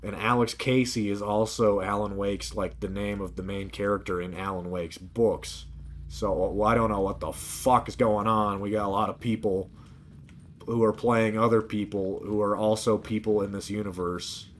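A man's voice: fairly low pitch, level tone, moderate pace, a little hiss or hum. A man giving casual, profane video game commentary, muttering asides to himself. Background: video game sound.

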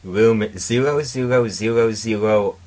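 A man presenting and reading fan fiction aloud in a deliberate, mock-dramatic way. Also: lowish voice, a little mellow. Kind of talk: casual intro and dramatic reading.

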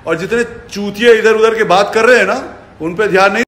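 A man with a fairly high voice delivers an angry social media rant. He is confrontational and taunting, and swears freely. The phone held at arm's length picks up the echo of the room around him. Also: a little fast, fairly bright tone. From India. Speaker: fitness personality. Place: furnished living room indoors. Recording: echoey indoor room.

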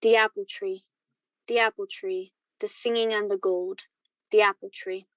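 A woman's voice: mid-range voice, flat delivery, no room sound, natural voice. Woman as a wistful man reminiscing, dry sound. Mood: wistful, reflective. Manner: reflective, literary, measured. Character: wistful married man recalling his youth. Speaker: student actor. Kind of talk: radio drama narration.

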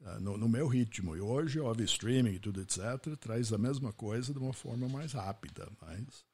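A man with a husky voice, hosting the podcast and sharing his own stories in a reflective way. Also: mid-range voice, moderate pace, mellow. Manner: reflective, anecdotal. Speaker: podcast host. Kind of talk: casual interview talk.

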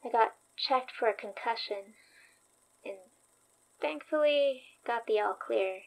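A woman playing an earnest, anxious, loyal young store worker in a naturalistic horror audio drama, speaking with a hesitant, halting manner. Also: fairly high pitch, gravelly, rough recording. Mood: guilty, subdued.

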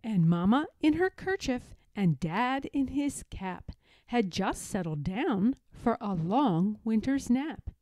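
A woman narrating a children's storybook poem aloud in an expressive, dramatic and measured way. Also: a little high, no echo, clean studio sound.

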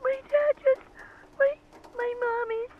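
A woman voicing a small, innocent, scared girl who talks haltingly in a video game. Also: very high voice, fairly even intonation. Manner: hesitant, halting. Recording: muffled phone call with faint noise.

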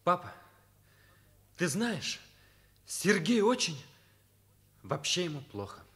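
A man's voice: sits high, sing-song, slow, fairly bright tone, exaggerated voice. An actor in a Soviet radio play playing a loyal, frank teenage schoolboy who argues insistently and reproachfully with his father. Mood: pleading. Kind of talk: Soviet radio drama acting.

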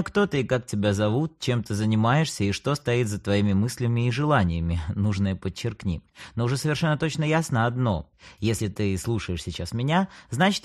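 A man talks straight to the listener like a coach hosting a podcast. His mid-pitched voice moves up and down in a lively way. He is chatty and playful, slipping in ironic asides as he explains. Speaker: podcast host, communication coach and psychologist. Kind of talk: conversational audiobook monologue addressing the listener.

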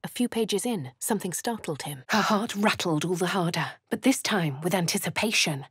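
A husky posh adventurer heroine. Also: female voice, lowish voice, lively intonation, normal speed, dry recording, clean studio sound. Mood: wry. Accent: upper-class English. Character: posh female adventurer, dry-witted and composed. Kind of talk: video game voice lines, in-character narration. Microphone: close studio mic.

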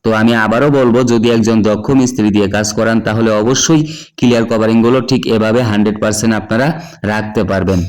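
A man's voice: lowish voice, steady tone, quick pace, darkish tone. A man with a Bangladeshi accent gives a practical instructional demonstration, addressing viewers directly and explaining step by step with much repetition. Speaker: construction tradesman and presenter.

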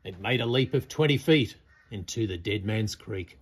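Husky man reading a storybook poem expressively. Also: lowish voice, expressive, normal speed. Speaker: storyteller reading a picture book aloud. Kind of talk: expressive read-aloud poetry recitation. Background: birdsong.

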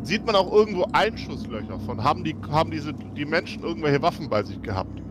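A man's voice: fairly high pitch, very animated, a little fast, background noise. A man playing a tabletop role-playing game, talking casually and partly in character. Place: online group call, indoors at home. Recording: music under the voice. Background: music.

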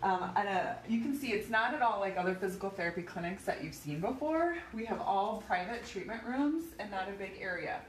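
A woman's voice: mid-range voice, lively intonation, a little fast, few pauses, recorded from a distance. A woman, chatty and bubbly, giving a casual live tour of a small clinic while walking. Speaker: physical therapy clinic owner giving a tour. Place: small clinic indoors, hallways and treatment rooms. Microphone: phone camera held by another person. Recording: roomy phone audio from a few feet off, faint hum.

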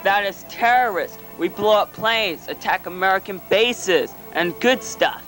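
A man's voice: sits high, animated, measured pace, fairly bright tone, faint music behind. A man voicing a blunt, gossipy masked terrorist boss in a fan parody redub of a cartoon. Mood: gossiping.

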